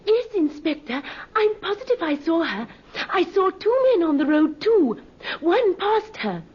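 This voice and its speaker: An actress playing an emphatic, excitable village busybody in an old-time radio drama. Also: female voice, very high voice, measured pace, exaggerated voice. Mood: excited, certain. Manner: insistent, emphatic. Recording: muffled old radio recording.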